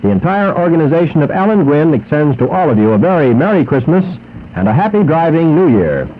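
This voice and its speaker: Male American announcer for a 1940s radio commercial, higher-pitched, very animated delivery, muffled acetate recording. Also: a little fast. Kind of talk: 1940s radio advertisement read.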